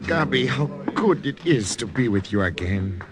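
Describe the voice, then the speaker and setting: A man's voice: medium pitch, sing-song, moderate pace, lightly acted. Man playing a suave, swooping officer. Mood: amorous, teasing. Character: suave German officer, amorous and commanding former lover. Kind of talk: old-time radio acting. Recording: vintage radio recording with music behind.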